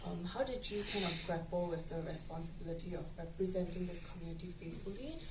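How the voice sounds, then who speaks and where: Measured female moderator; slightly low voice, distant pickup. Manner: measured, formal questions. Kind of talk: festival Q&A moderating. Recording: echoey room, noisy, mic at a distance.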